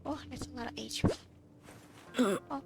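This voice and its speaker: Creaky, high-voiced woman commenting on gameplay. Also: steady tone, normal speed. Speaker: gamer.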